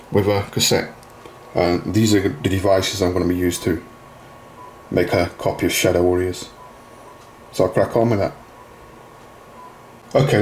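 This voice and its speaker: Deep-voiced man giving a hands-on tutorial. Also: a little hiss or hum. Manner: plain, step-by-step explaining. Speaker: video host demonstrating a retro computer repair. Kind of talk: informal hands-on tutorial narration.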